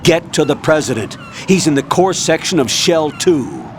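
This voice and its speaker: A man's voice: fairly high pitch, animated, moderate pace, a little hiss or hum, close to an everyday voice. An actor playing a curt, authoritative male military colonel in a video game, with clipped delivery. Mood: commanding. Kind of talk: dramatic video game acting.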